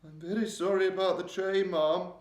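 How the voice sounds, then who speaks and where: A man's voice: high-pitched, steady tone, moderate pace, darkish tone, voice pushed up, lightly acted. A man voicing an oldish, deferential maid who speaks politely and with a quivering voice. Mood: nervous, shaken. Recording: roomy echo.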